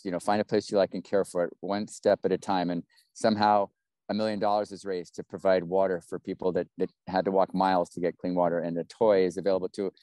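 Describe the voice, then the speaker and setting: A man's voice: low voice, dark tone, clear, resonant tone, no echo. A man hosting an online award conference, talking in a rambling, encouraging way with many restarts over a video call. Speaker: webinar host.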